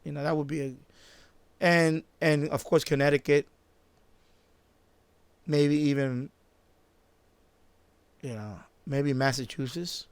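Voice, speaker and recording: A man chatting off the cuff on a live stream, halting and repeating himself as he circles back to his points. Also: medium pitch, creaky, no echo. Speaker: live-stream host, radio hobbyist. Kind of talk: casual unscripted live-stream chat.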